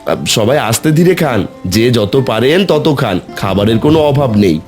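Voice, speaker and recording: A man's voice: mid-range voice, animated, normal speed, darkish tone, faint music behind, natural voice. A man voicing a kindly village father, earnest and reassuring, in a children's fairy tale cartoon.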